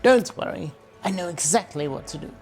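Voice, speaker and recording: A woman playing a suave British spy in a fan audio drama, cool and measured in manner. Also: on the low side, expressive, soft background music. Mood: cautious, assured.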